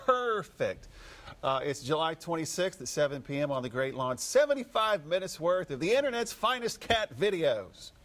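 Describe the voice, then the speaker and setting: A man's voice: on the high side, very animated, normal speed, fairly bright tone. A man anchoring a morning show with wry, joking on-air banter.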